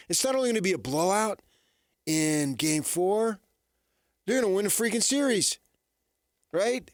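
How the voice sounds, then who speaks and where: An American man hosting a sports radio show, giving opinions in an animated storytelling way. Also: on the high side, no room sound, clean studio sound.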